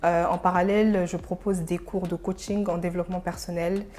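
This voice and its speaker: A young woman from Senegal, an interview guest, talking casually and pausing mid-thought. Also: lowish voice, flat delivery, mellow, slight background noise. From Senegal, of Burkinabe descent.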